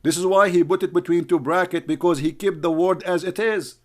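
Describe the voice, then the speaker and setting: A man's voice: high voice, steady tone, brisk. Scornful man. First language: Arabic. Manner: mocking, scornful, calls names. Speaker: online commentator. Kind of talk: heated argumentative commentary.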